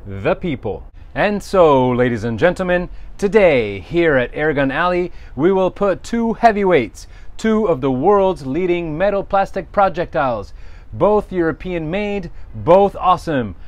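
A man's voice: fairly high pitch, expressive, unhurried, no echo. A man, hosting a casual airgun review outdoors with theatrical announcing. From Italy. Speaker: airgun channel host. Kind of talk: casual product review talk. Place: outdoor backyard shooting range.

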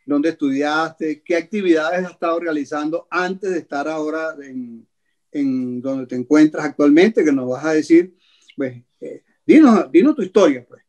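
An older man presents a health segment over a webcam from a small room at home. His voice sits a little high, and he speaks in a measured way, pausing between the items he lists. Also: a little mellow. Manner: measured, lists items slowly with many pauses. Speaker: host. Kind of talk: radio-style health show presenting. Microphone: video call webcam.

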